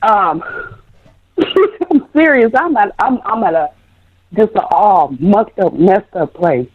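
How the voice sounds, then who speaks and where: A woman's voice: mid-range voice, lively intonation, slow pace. A woman calling in with a cold, sounding congested and speaking hesitantly. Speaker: caller. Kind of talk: phone call-in. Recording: dull phone line.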